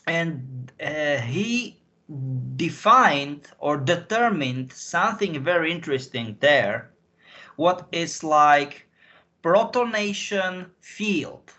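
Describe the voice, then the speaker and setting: Slow, halting man with a Slovak accent. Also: a little high, expressive, sharp tone. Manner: halting, repeats and reformulates phrases while searching for words. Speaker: interview guest. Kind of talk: rambling conversational interview answers.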